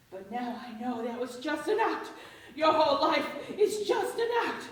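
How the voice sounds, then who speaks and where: Woman acting high-pitched, commanding radio-play schemer. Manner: commanding, insistent. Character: firm, scheming female accomplice posing as asylum superintendent. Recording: very echoey, distant stage mic.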